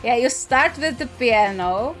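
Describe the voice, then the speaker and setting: A woman's voice: fairly high pitch, animated, unhurried, fairly bright tone, rough recording. A woman hosting a gaming reaction video with casual, playful, musing commentary. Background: music.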